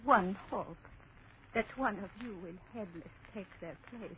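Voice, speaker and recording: A woman's voice: medium pitch, measured pace, crowd noise, close to an everyday voice. An actress playing a sinister old French woman in vintage radio horror, speaking in ominous, incantatory repetitions with heavy drama. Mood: grave, chanting. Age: older. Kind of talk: 1940s radio horror acting. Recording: muffled, noisy old radio recording.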